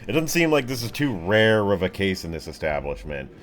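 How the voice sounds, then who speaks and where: A man's voice: lowish voice, very animated, fairly quick. A man casually improvising narration as game master of a tabletop game, in a laid-back, colloquial way.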